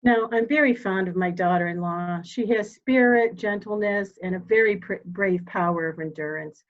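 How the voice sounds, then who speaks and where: A woman acting a steely, dying elderly mother in a mystery drama reading, speaking formally and deliberately. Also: mid-range voice, phone-quality sound, natural voice. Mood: sorrowful, candid.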